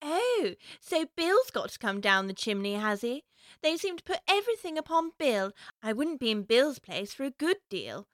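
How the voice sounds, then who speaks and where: Curious chatty little girl musing to herself, a woman voicing her, high and bright, dry sound. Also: sing-song, moderate pace, no room sound. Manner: musing aloud to herself, prim and wondering.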